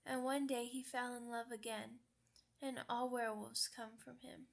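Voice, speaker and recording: A woman's voice: fairly high pitch, flat delivery, moderate pace, vocal fry. A woman voicing a sad, earnest young female werewolf pup in an ASMR roleplay, with hesitant, childlike phrasing. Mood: wistful storytelling.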